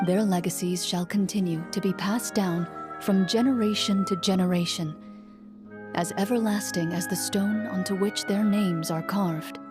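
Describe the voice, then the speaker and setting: An actress playing a composed, gently wry female duelist in a fantasy video game, speaking in a measured, poised way. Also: medium pitch, steady tone, music in the background, lightly acted. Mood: solemn narrating. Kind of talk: video game acting, storytelling.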